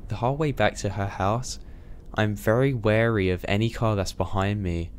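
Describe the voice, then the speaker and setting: A young man narrating a scary first-person story in a steady, scripted read. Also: on the low side, slight background noise.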